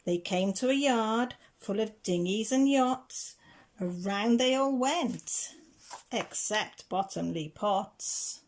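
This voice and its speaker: A woman reading a children's rhyming picture book aloud with measured, deliberate phrasing. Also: mid-range voice, expressive. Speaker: reader of children's picture books. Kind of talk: storybook read-aloud.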